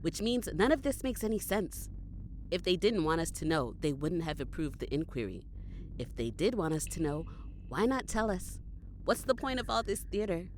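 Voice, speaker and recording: A woman's voice: fairly low pitch, big pitch swings, moderate pace, a little hiss or hum. A woman playing a blunt, sceptical, foul-mouthed adventuring companion in a fantasy audio drama. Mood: suspicious. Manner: sardonic, blunt, swearing.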